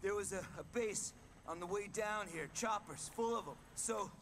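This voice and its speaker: An actor playing an easygoing hippie helicopter pilot in a video game, talking in loose slang. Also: male voice, high-pitched, normal speed. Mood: relaxed, impressed. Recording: very loud background noise.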